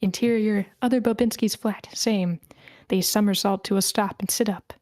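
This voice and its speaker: A woman's voice: medium pitch, steady tone, moderate pace, a little mellow, no room sound, clean studio sound. A woman reading a screenplay's stage directions as the narrator in scripted narration.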